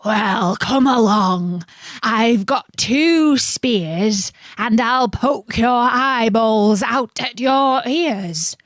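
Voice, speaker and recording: Big billy goat, hoarse and ugly-sounding, slow bragging threats, a lady putting it on. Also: fairly high pitch, no room sound. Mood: defiant.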